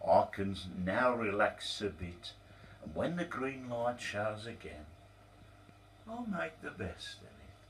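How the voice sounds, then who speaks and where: A man's voice: on the low side, very animated, takes it slowly, distant mic, slight background noise, exaggerated voice. A man voicing a nervous yet stubborn male clerk who splutters and insists he is right. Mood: insistent.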